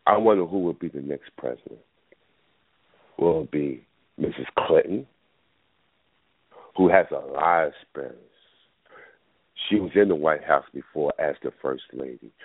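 Deep-voiced male talk radio host, loose and rambling, a bit under the weather, heard over a phone line. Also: dry recording. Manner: rambling, thinks aloud with many fillers. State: battling a cold. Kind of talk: casual call-in radio talk. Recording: tinny phone line, dry.

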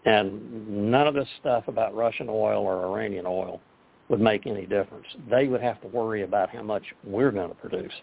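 A man from Texas and Oklahoma, a guest on a talk radio show, hoarse and losing his voice, wry and skeptical. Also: on the low side, moderate pace. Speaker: guest journalist. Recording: tinny phone line.

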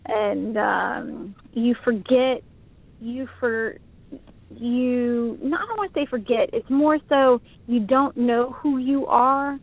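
A woman's voice: on the high side, slow pace, no echo. A woman in her forties chatting casually as co-host, rambling and self-deprecating, often laughing at herself. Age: 40s. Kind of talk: casual chat on internet radio. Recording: dry tinny phone line.

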